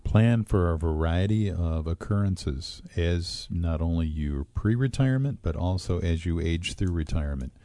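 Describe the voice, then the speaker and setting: A man co-hosting a retirement radio show, explaining pension plans and interest rates in a measured way with frequent fillers. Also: deep voice, dark tone, no room sound. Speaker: financial advisor co-host. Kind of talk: radio talk show discussion.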